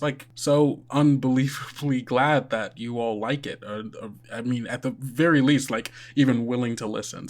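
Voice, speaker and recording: Man, podcast host, medium pitch, casual and chatty, rambling, self-correcting, jokey. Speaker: podcast host and game master. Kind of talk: casual, chatty podcast housekeeping and game narration.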